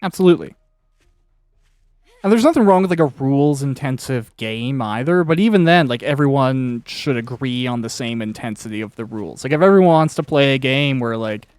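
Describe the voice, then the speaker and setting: A man interviews in a loose, chatty style, wandering through anecdotes with the odd casual swear. His voice sits at an ordinary male pitch, recorded dry with hardly any room sound. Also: expressive, a little fast, a little mellow, clean tone, dry recording. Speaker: podcast interviewer and author.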